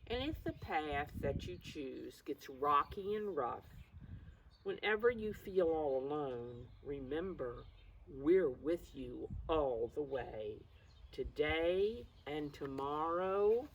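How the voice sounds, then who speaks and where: Mature woman, preschool storytime teacher, animated intonation, encouraging, explains things simply; distant mic with faint noise behind. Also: deep voice, slow pace. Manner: encouraging, addresses young children directly. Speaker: preschool teacher reading a picture book. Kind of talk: children's read-aloud with simple explanations.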